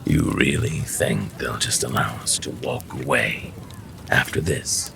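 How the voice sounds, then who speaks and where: A man's voice: rumbling low, unhurried, noisy background, full character voice. A man playing a proud, defiant, power-hungry tyrant in a fantasy audio drama, with a growling, sneering, imperious delivery. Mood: grim resolve. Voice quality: gravelly, growling.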